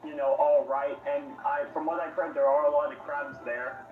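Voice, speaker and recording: A man joins the chat over a call, speaking haltingly with many fillers before landing blunt opinions. His voice sits a little high. The audio is thin and phone-like, distant and roomy, with music clearly behind him. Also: steady tone. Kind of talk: casual chat. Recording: tinny echoey call audio from afar.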